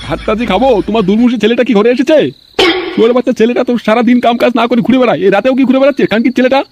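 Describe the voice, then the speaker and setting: An unusually high, rapid cartoon voice for a cranky middle-aged village father, played by a man. He scolds and threatens crudely, swearing constantly, over clearly noisy audio. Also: fairly even intonation. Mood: angry, suspicious. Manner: scolding, threatening, crude curse-laden speech. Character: bad-tempered, stingy, abusive middle-aged village father. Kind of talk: comic cartoon dialogue.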